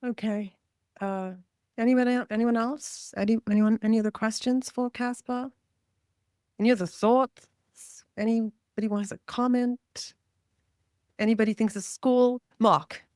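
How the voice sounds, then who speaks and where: A woman hosting a discussion, briskly calling on people for questions. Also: a little high, steady tone, darkish tone, dry recording, clean studio sound. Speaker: host moderating questions.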